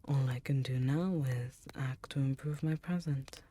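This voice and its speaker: Woman as a very low, whispery ancient succubus queen. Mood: remorseful, reflective. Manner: slow, soft, breathy, often whispering. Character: ancient female succubus demon queen, tender, teasing, remorseful. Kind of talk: intimate ASMR roleplay.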